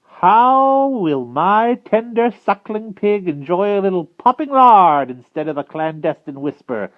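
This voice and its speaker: A gloating old storybook witch, played by a man, high and swooping wildly in pitch. She coos with mock affection and gleeful cruelty, theatrical in every phrase. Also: big pitch swings, a little slow, no echo, narrow, tinny audio. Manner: theatrical cooing, gleefully menacing.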